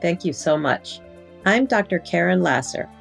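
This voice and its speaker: A woman interviews a medical guest in a polite, professional way. Her voice sits on the low side with a clear creak, and there is faint music in the recording. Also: animated, moderate pace. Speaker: interviewer, physician editor. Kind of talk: scripted medical interview questions.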